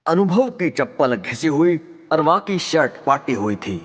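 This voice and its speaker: A man's voice: on the high side, big pitch swings, unhurried, big-room sound. A man with a Haryanvi accent narrating an audio drama in a dramatic scripted storytelling style.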